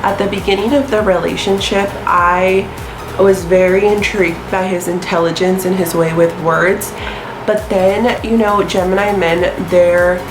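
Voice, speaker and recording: A woman's voice: medium pitch, fairly even intonation, a little slow, reverberant, music in the background, rough recording. A young woman in her twenties with a stuffy nose from a sinus infection, chatting casually to camera with lots of ums and likes. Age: 20s. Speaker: astrology vlogger.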